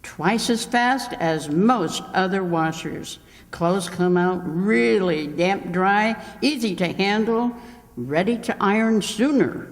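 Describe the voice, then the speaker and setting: A man plays an eager, wordy salesman in an old-time radio comedy. He pitches slowly and emphatically, like an announcer selling goods. The sound has long hall reverb. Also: lively intonation, exaggerated voice. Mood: enthusiastic pitching. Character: enthusiastic, wordy appliance salesman Mister Niles.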